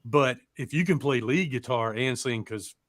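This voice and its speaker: A man's voice: mid-range voice, moderate pace, no room sound. Rambling man, close dry sound. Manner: rambling, folksy, informal with swearing. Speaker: show co-host. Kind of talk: casual chat.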